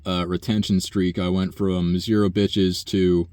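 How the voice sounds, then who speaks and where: A young man with a very low, buzzy voice talks in a loose, profane ramble, trailing off into fillers and sighs. The sound is close and dry, with no room around it. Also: level tone, measured pace, a little mellow, clean tone, no room sound. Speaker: vlogger. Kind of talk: rambling personal monologue.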